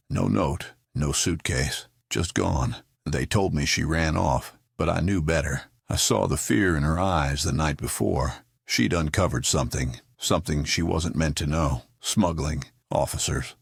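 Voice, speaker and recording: Very deep, gravelly soldier, voiced by a man. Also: no room sound, studio quality, exaggerated voice. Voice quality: gravelly, husky. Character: haunted, earnest American army private pleading by letter. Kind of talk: audio drama letter monologue.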